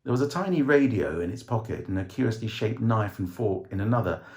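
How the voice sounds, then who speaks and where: A man reading a short story as dramatic scripted narration, with theatrical, measured phrasing. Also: lowish voice, expressive, darkish tone. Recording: roomy, echoing voice recording.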